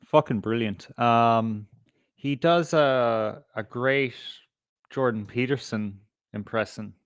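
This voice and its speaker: A man's voice: medium pitch, slow pace, clean tone, no room sound, clean studio sound. A man hosting a casual livestream, talking in a rambling, sweary way. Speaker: show host.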